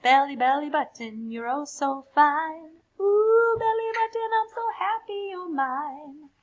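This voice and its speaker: A woman's voice: sits high, measured pace, highs cut off, voice pushed up. A woman voicing a tiny, cheerful, affectionate female hippo from a storybook in a bouncy sing-song. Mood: adoring, singing.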